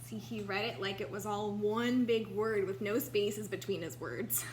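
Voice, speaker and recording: A woman's voice: mid-range voice, steady tone, a little slow, a little mellow. A woman reading a children's storybook aloud expressively, narrating for young listeners. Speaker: storybook reader. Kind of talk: animated children's read-aloud with picture commentary. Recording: noticeable background hum.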